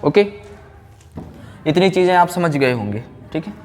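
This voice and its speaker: A man's voice: on the high side, animated, measured pace, darkish tone. Guy teaching science class, asks then answers himself, really echoey room w/ bit of noise. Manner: didactic, asks questions and answers them himself. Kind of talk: classroom physics lecture. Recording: very reverberant room, background noise.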